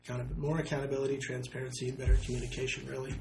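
A man's voice: medium pitch, flat delivery, rapid-fire, creaky, roomy sound, over music. A young man running for city council, answering interview questions earnestly and hedging with "I think.". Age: young adult. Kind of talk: candidate interview.

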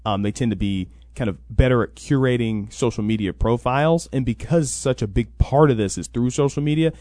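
A man's voice: medium pitch, brisk, dark tone, no echo, studio quality. A man answering interview questions as a program coordinator, explaining conversationally and often acting out what others would say. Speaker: interview guest, college NIL program coordinator. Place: radio sports talk show.

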